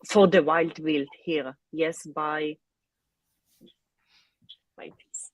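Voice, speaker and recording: A woman with a low, slightly rough voice, recorded close and dry. English is not her first language, and she searches for words as she chats informally on a reading livestream. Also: fairly even intonation, very slow, no room sound. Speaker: co-host of a livestreamed reading sprint. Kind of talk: casual unscripted livestream chat about books.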